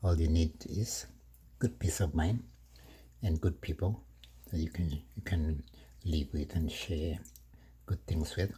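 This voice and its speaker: A middle-aged man from Kenya, chatting slowly in his home kitchen while cooking, with many fillers and soft laughs. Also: very deep voice, breathy edge. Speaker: vlogger. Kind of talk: casual cooking vlog monologue.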